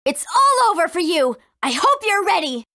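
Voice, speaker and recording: A woman's voice: extremely high pitch, very animated, moderate pace, bright, forceful tone, dry recording, clean studio sound, full character voice. A woman voicing a spirited, cautious fifteen-year-old warrior princess in a video game, earnest and youthful in her delivery. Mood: determined. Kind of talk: English video game voice acting.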